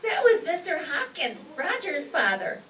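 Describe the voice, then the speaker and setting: A woman's voice: high-pitched, big pitch swings, unhurried, sharp tone, heavily acted. A woman playing a giggly, curious, cheeky little girl in an old-time radio comedy, with a childlike, naive way of talking. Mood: innocent, amused. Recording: roomy, distant stage recording with noise.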